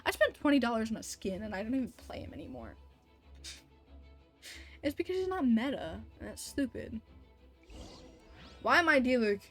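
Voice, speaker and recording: A woman live-streaming a video game, commenting casually and excitably with mild swearing. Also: on the high side, moderate pace, long pauses, faint music behind.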